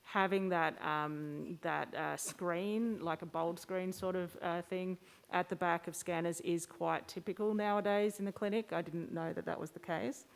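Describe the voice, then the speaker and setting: A woman moderating a question-and-answer session, speaking in hesitant, halting phrases. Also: fairly low pitch, steady tone, vocal fry.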